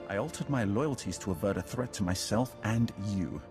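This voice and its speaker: Man acting a deep-voiced, solemn Sith lord. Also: lively intonation, close to an everyday voice. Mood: ominous. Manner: solemn, ominous, measured declarations. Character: grave Sith lord defector, proud, coldly calculating seer. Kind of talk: dramatic video game acting. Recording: loud music behind the voice.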